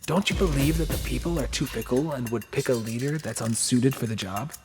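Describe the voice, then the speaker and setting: A man as an earnest, skeptical ninja, mid-pitched. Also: fairly quick, over music, natural voice. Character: earnest, skeptical male ninja, respectful subordinate. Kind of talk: fan-made anime audio drama.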